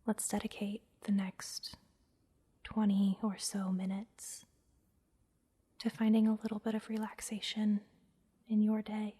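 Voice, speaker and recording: A woman leads an ASMR guided meditation in a very breathy whisper. Her delivery is slow and even, with little movement in pitch. She gives soft, soothing instructions and leaves space between them. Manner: gentle, soothing, instructing softly with pauses. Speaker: massage therapist and meditation guide, host.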